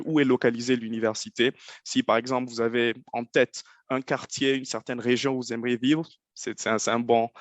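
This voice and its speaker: A man's voice: medium pitch, no echo. Earnest man with a Nigerien accent. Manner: earnest, repeats words while searching. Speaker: guest speaker. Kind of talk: conversational advice talk.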